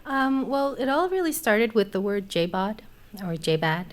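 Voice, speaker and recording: A woman in her thirties, a poet from Afghanistan, reading poems and then speaking thoughtfully, with many pauses, into a studio mic on a small stage. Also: medium pitch. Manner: thoughtful, hesitant with many fillers. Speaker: poet and literature teacher. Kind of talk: poetry reading and panel conversation. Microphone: studio mic on a stand.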